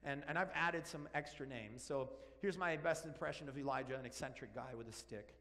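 A man in his forties from Argentina, a native Spanish speaker, preaching an animated, joking sermon while walking around the stage. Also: medium pitch, brisk. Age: 40s. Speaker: pastor. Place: large church sanctuary. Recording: long church hall reverb.

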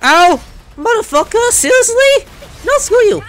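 A man giving casual gameplay commentary as a video host, excitable, reactive and prone to swearing. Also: extremely high pitch, a little slow. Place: small room indoors at a computer. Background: faint game music.

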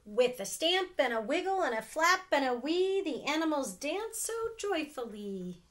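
A middle-aged woman reading a picture book aloud to children, expressive and sing-song, with casual asides. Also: sits high, a little slow, a little hiss or hum. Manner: expressive, sing-song, asking the listeners questions. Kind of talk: animated read-aloud storytelling with casual asides. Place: small room at home with sloped ceiling.

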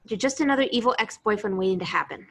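A woman's voice: medium pitch, quick pace, a bit bright. A woman playing a blunt, guarded young action heroine in a table read over a video call. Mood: hurt, fed up.

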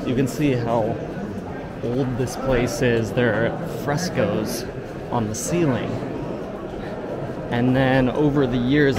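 A young man chatting casually as a travel vlogger while walking through a large covered market, filmed with a camera at arm's length. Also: medium pitch. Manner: upbeat, chatty, laughs often. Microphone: action camera at arm's length. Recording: loud background noise. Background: busy market noise.

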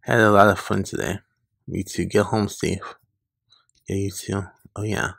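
Gravelly low-voiced man reading a comic aloud. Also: level tone, measured pace, a bit bright, no room sound, clean studio sound. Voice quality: gravelly, husky. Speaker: online comic reader. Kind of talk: reading webcomic dialogue aloud with casual reactions.

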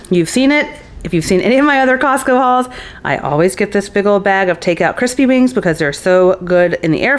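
A middle-aged woman with a medium-pitched voice chats informally as a vlogger. She thinks aloud in a conversational way, recorded on a camera microphone in a kitchen at home. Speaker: vlogger, host of a grocery haul. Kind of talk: casual chatty haul narration to camera.

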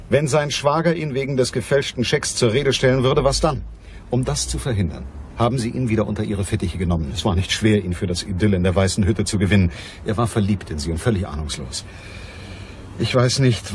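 A man playing a blunt, unyielding private detective in a crime radio play, with a dry, deliberate delivery. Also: mid-range voice, slight background noise, close to an everyday voice. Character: hard-boiled, stocky male private detective, blunt and unyielding.

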